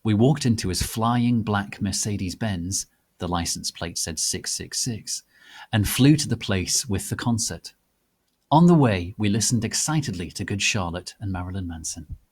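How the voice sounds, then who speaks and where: A man giving a theatrical, deliberate dramatic reading of a piece of fan fiction. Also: on the low side, a little mellow, breathy edge. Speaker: reader.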